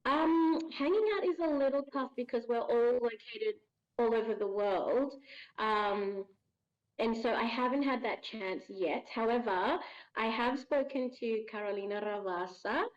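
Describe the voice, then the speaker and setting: A woman's voice: on the high side, measured pace, highs cut off. Chatty woman voice actor on a call. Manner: friendly, laughs often, chatty with fillers. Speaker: voice actor guest. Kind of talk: casual podcast interview. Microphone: remote video call.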